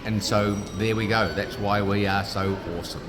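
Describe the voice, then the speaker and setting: A middle-aged man with a New Zealand accent gives a lively, salesman-style pitch in a fairly low voice. Music plays clearly behind him at a busy trade fair. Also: moderate pace. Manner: upbeat, punchy salesman delivery. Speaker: guest, business founder. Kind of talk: casual interview pitch.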